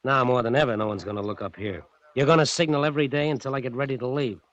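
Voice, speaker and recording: A man's voice: mid-range voice, fast, fairly bright tone, no room sound, close to an everyday voice. Guy doing a ruthless crook from an old radio play, barks threats, cornered and cocky, muffled vintage sound. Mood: cocky, smug. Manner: curt, barking, threatening. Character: ruthless, cornered male gangster, cocky and menacing. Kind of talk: 1940s radio acting.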